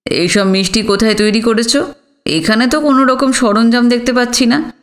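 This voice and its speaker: A woman voicing a genial, curious city gentleman and father in an audiobook, chatty and inquisitive. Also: on the high side, fairly even intonation, moderate pace, lightly acted. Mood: interested, sympathetic. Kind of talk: audiobook character dialogue.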